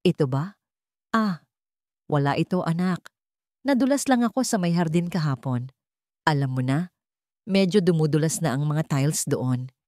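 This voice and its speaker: A woman's voice: low voice, lively intonation, moderate pace, pauses often, dark tone, no room sound, clean studio sound. A woman with a Filipino accent voicing an anxious, evasive older mother who sounds strained and reassuring, in a narrated story. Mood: nervous, dismissive.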